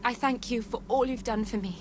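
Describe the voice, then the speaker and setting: An actress playing an anxious young mystic in a game cutscene, speaking earnestly and pleading with trembling worry. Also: female voice, a little high, brisk, noisy background, lightly acted. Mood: desperate.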